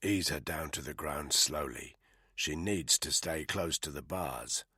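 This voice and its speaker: A man playing a hard-bitten male soldier who gives low, firm orders. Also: unhurried, bright, forceful tone, husky, no room sound, clean studio sound, exaggerated voice. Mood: grim, commanding.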